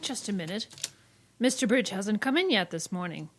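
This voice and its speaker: A woman's voice: mid-range voice, animated, normal speed. A woman playing a prim, anxious newspaper secretary in an old-time radio drama. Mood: brisk, guarded.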